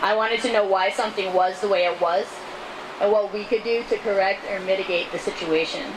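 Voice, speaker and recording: A woman giving a measured, earnest reading of prepared testimony aloud at an outdoor rally. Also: mid-range voice. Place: outdoors at a city street rally. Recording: noisy outdoor street recording.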